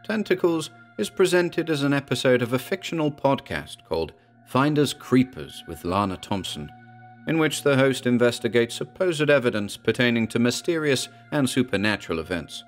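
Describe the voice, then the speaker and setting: A man's voice: mid-range voice, sing-song, moderate pace, soft background music. A man reading a scripted introduction as host of a horror audio drama channel.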